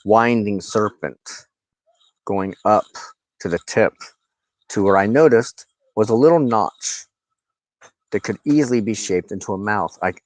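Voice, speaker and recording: A man with a very low voice talks slowly and reflectively, as if to his own viewers. He halts and pauses mid-sentence as he rambles through his thoughts. The sound is dry and close. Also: darkish tone, dry recording, poor audio. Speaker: host of a personal spiritual channel, wand crafter. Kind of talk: rambling informal monologue to viewers.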